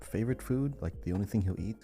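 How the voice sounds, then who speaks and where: Dark warm voiced guy running a casual riddle quiz, very animated pitch, faint music. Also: fast, vocal fry. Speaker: podcast co-host, quizmaster. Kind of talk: casual riddle quizmaster banter.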